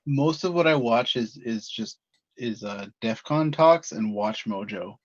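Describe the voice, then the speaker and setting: A man's voice: medium pitch, animated, measured pace, no echo, highs cut off. Chatty man hosting a podcast. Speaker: podcast host. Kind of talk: casual chat.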